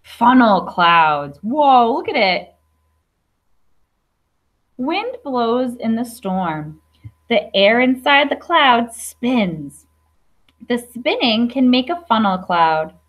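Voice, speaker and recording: A woman's voice: fairly high pitch, sing-song, drawn-out words, long pauses, fairly bright tone. A young adult woman teacher reading a picture book aloud to young children, explaining simply with questions and asides. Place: classroom.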